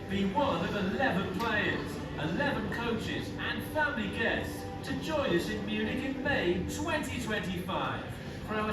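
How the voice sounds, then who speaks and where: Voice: medium pitch, far from the mic. An upbeat advertisement voice-over with a selling tone, played back during a presentation. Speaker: promo voice-over. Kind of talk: advertisement narration. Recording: promo played through room speakers, echoey, loud music.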